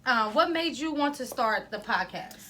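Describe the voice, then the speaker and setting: A woman from Ohio co-hosting a casual podcast, loud and rambling. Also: a little high, expressive, moderate pace, slight background noise. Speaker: podcast co-host.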